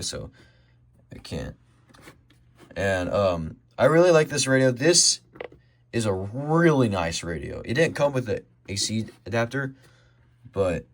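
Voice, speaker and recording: A young man with an American accent giving a casual show-and-tell, halting and rambling as he corrects himself. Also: fairly low pitch. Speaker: hobbyist showing a radio collection. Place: indoors at home by a desk.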